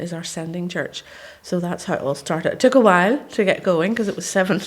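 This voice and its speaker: A woman from Northern Ireland, a missionary guest speaking softly and hesitantly about her background. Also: fairly low pitch. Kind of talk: personal testimony.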